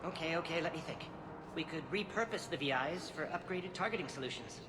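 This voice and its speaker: A man's voice: on the high side, animated, a little fast, natural voice. An actor playing a fussy, profit-minded alien businessman in a video game, calculating, with a hall echo. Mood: eager, calculating. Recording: echoey hall with music.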